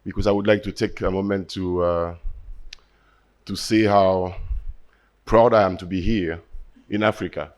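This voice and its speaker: A low-voiced man from Haiti speaks on a panel with a Haitian French accent. French is his first language. He talks earnestly, with some halting. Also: fairly even intonation. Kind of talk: panel discussion answer.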